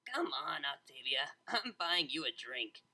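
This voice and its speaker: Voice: medium pitch, very animated, unhurried, pushed and bright, exaggerated voice. A narrator voicing a brash, playful young female unicorn DJ with slangy, laid-back speech in a story. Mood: casual. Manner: slangy, laid-back, stumbling over words.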